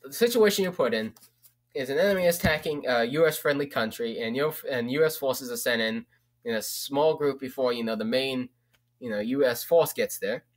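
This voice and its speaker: A man's voice: mid-range voice, fairly quick. A young man, rambling casually through a book recommendation, with lots of fillers and the odd swear word. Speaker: vlogger.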